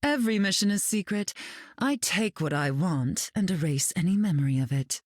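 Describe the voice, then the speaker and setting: A vain, icy telepath queen who drawls with smug superiority. Her low voice rises and falls expressively, captured in a dry, close recording. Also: animated, normal speed, dry recording. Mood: smug, haughty.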